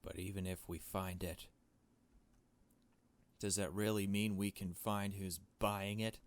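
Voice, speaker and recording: Low-voiced man doing casual game commentary. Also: level tone, a little slow, dry recording. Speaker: gaming video host. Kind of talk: casual playthrough commentary mixed with reading visual novel text aloud.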